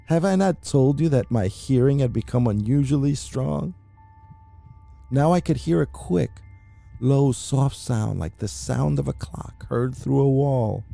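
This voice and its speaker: A man giving a theatrical, deliberate first-person dramatic reading of a horror story, as an anxious, mad murderer confessing. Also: mid-range voice, gravelly, mellow, faint music behind. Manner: theatrical, deliberate, with emphatic repetitions. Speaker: amateur narrator reading a horror short story.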